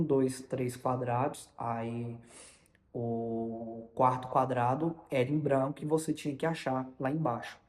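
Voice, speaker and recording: A young man with a Brazilian Portuguese accent tells a story informally, in a halting way, often repeating and correcting his words. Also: medium pitch, darkish tone. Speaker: vlogger recounting personal experience. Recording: long reverberant room echo.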